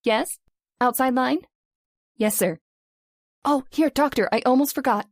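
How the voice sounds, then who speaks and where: An actress playing a chatty, teasing and forthright young hospital receptionist in an old-time radio drama. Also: female voice, sits high, a little slow, no room sound, clean studio sound. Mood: prodding. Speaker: voice actor.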